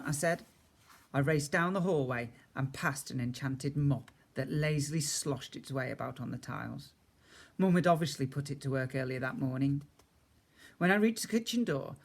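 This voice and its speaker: A man reading a children's story aloud expressively, as a teacher does for a young class. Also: mid-range voice.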